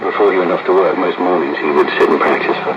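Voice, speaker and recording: A man's voice: low voice, fast talker. A man reminiscing conversationally, heard over a shortwave radio broadcast. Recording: muffled shortwave radio, loud static.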